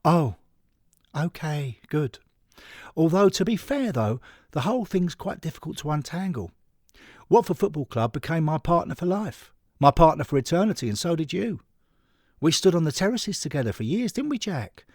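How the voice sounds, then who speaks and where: A man's voice: a little high, big pitch swings, moderate pace, a little mellow, dry recording, clean studio sound. A man voicing an earnest, literal-minded and sentimental male football fan devoted to his partner in an audio story.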